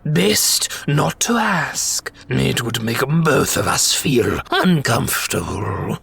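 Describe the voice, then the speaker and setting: Husky, gravelly robot warlord villain. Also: male voice, medium pitch, big pitch swings, fairly bright tone, no echo, heavily acted. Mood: smug, taunting. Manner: theatrical, slow, mock-polite menace. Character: sinister gloating male robot warlord villain. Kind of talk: scripted fan dub acting.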